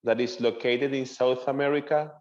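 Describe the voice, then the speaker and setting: A man's voice: medium pitch, steady tone, a little mellow. Earnest Venezuelan-accented man, dull audio. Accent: Venezuelan Spanish. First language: Spanish. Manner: earnest, halting non-native phrasing. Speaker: seminarian guest. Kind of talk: interview answers.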